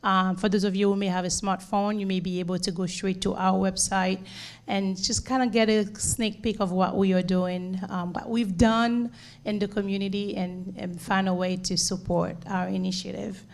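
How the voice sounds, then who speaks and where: Haitian-accented nurse giving a talk. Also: female voice, mid-range voice, level tone, normal speed, vocal fry. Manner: earnest, repeats words, many "um"s. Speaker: nurse and home care leader. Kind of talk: community presentation.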